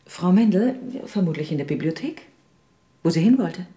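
Radio drama: actress for resourceful, questioning woman, slightly low and soft-toned, naturalistic delivery. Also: expressive, normal speed, lightly acted. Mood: feigned casualness. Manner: questioning, conversational. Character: inquisitive, resourceful woman, friendly companion to an old lady.

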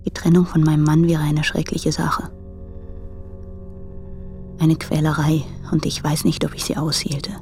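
An actress playing an anguished married woman in a radio play, speaking hesitantly in a hushed, breathy voice. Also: sits low, flat delivery, measured pace, pauses often. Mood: troubled. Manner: hesitant, subdued. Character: anguished married woman torn between husband and lover. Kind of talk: intimate German radio play monologue. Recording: close dry studio, music behind.

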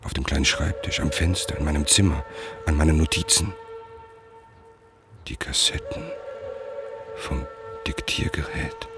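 A man acting as a brooding, suspicious middle-aged writer, narrating a radio play in the first person with a gravelly, measured, introspective delivery. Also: rumbling low. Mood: observant, pensive. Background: music.

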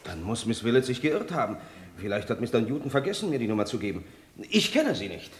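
A man's voice: medium pitch, sing-song, fast, natural voice. An actor playing a defensive, earnest accused businessman testifying in an old German radio crime drama. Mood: subdued, defensive. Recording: vintage radio play, echoey courtroom hall.

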